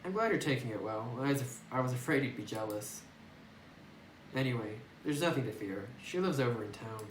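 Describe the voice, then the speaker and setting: A man's voice: medium pitch, expressive, a little fast, lightly acted. A man voicing a lonely, earnest doll repairer in a visual novel, subdued and careful, recorded on a phone microphone. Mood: confiding. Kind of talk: read-aloud visual novel dialogue. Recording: roomy, noisy distant phone microphone.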